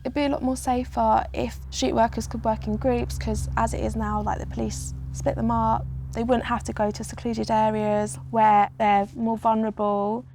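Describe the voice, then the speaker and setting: A young woman with a fairly high voice and a gravelly, fry-laden edge. She talks plainly and casually, leaning on "like" as a filler. A faint ambient hiss sits underneath. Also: little pitch movement, moderate pace, hardly any pauses, a little mellow. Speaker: interviewee. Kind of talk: documentary interview.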